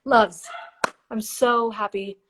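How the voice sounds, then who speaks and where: A woman hosting a live stream, chatting and giving oracle card readings, her voice a little hoarse. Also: on the high side, fairly even intonation, takes it slowly, background noise. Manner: warm, chatty, reads card texts softly. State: slightly hoarse, losing her voice. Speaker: podcast host and intuitive reader. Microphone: phone or computer mic for a live stream.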